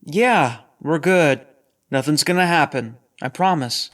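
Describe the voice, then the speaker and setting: Higher-pitched, reassuring spaceship crewman. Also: expressive, a little slow, fairly bright tone. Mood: concerned, reassuring. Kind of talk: audio drama acting.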